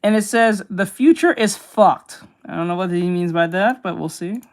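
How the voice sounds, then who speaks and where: A woman artist chatting casually while she draws, reacting with quick exclamations and plenty of swearing. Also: on the low side. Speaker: artist streaming while drawing. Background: music.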